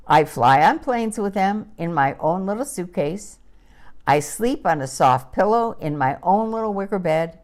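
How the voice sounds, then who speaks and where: Female reader as wistful little puppy narrator, children's audiobook: unhurried, animated intonation, gentle and childlike phrasing. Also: on the low side, exaggerated voice. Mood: contented, cosy. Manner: slow, expressive, simple childlike phrasing. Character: gentle wistful little female miniature schnauzer puppy telling her story.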